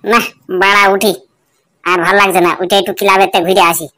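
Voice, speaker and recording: A woman's voice: mid-range voice, little pitch movement, a little fast, sharp tone, natural voice. A woman voicing a sleep-deprived, foul-mouthed male neighbour who grumbles in exasperation in a cartoon comedy. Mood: exasperated grumbling. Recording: very noisy, clicking background.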